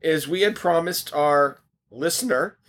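A man co-hosting a casual podcast while losing his voice, coughing now and then and projecting loudly with many restarts. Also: fairly high pitch, measured pace. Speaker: podcast co-host.

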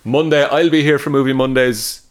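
A man's voice: mid-range voice, steady tone, moderate pace. An Irish man co-hosting a comedy podcast with casual, wry, jokey banter. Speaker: podcast co-host.

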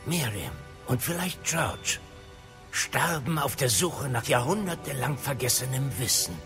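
An actor playing an earnest older male professor of magic with a measured, thoughtful delivery in an audio drama. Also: medium pitch, expressive, over music, natural voice. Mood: awed, grave.

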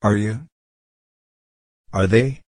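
A man slowly reading grammar drills for an English course, in a measured, clearly enunciated way. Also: on the low side, a little mellow, no room sound, clean studio sound. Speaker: course audio narrator. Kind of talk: slow scripted language lesson reading.

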